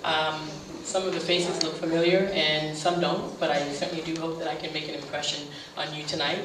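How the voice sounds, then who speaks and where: A woman who hosts a radio show gives an earnest, heartfelt speech at a community center, halting on fillers and then swelling with emphasis on key phrases. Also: sits low, flat delivery. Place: meeting room at a community center. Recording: very echoey room from a distant mic, faint hiss.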